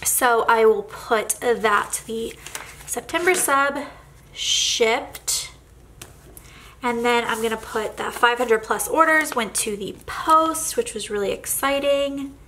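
A woman's voice: a little high, takes it slowly, pushed and bright, a little hiss or hum. A young woman vlogger with a congested, nasal voice from a cold, chatting casually with lots of fillers while narrating a planner session. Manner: chatty, rambling with many fillers.

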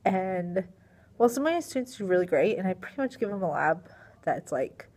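A woman's voice: lowish voice, very animated, normal speed, darkish tone. A young woman teacher, tired and a little strained in her voice, rambling casually into a laptop webcam in a small classroom. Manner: rambling, filler-heavy, sighing. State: tired and sleepy. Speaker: middle school science teacher. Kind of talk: casual video diary. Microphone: laptop webcam at desk.

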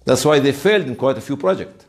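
Animated man lecturing a class. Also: medium pitch, fast, gravelly. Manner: emphatic storytelling with rhetorical questions. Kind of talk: informal interactive classroom lecture.

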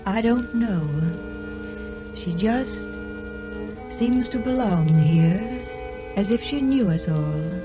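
A woman's voice: fairly high pitch, animated, slow, pauses often. An actress playing an anxious, kindly married woman in an old radio drama. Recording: dull old radio recording, faint music.

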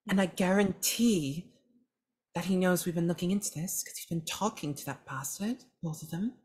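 Woman, slightly low voice, tabletop game player, in-character improvised talk, close mic. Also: moderate pace, darkish tone, breathy edge. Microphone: close mic on a video call.

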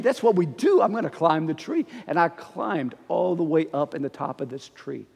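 A middle-aged man, a pastor, preaching a conversational, expressive sermon while standing before the altar. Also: a little high, creaky, breathy edge. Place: church sanctuary before the altar. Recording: long reverb of a church sanctuary.

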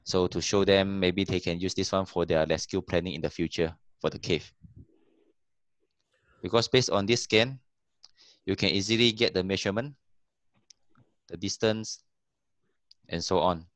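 A man giving a casual online talk about cave surveying, pausing often to search for words over a video call. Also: low-pitched, fairly even intonation, a bit bright, no echo. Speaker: cave explorer presenting.

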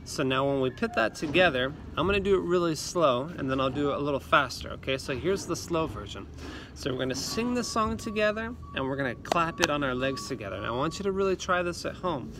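A man's voice: a little high, lively intonation, fairly quick. A man in his thirties talking to students as a music teacher on an instructional video, explaining simply and encouragingly, recorded outdoors. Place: outdoors in a leafy yard. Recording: outdoor recording with clear ambient noise.

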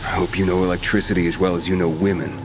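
Man acting as terse survivor, deep voice, dry and cheeky, game dialogue, loud music behind. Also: little pitch movement, very fast, close to an everyday voice. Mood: wary. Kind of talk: video game character dialogue.

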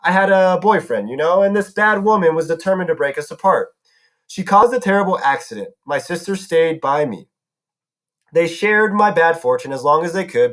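A man voicing a lonely, sweetly sinister old woman who makes garden statues, speaking in a soft, coaxing, formal way. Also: a little high, close to an everyday voice.

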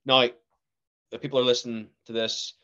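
A young man with a Northern Irish accent, a guest actor telling stories in a casual podcast chat, animated and laughing often. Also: mid-range voice, normal speed, smooth, highs cut off. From Northern Ireland.

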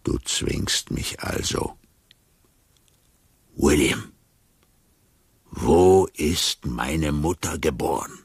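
An actor playing a brooding, rebellious circus tiger in a theatrical radio drama, halting and then bursting out. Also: male voice, extremely low pitch, slightly rough, no room sound, full character voice. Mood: menacing.

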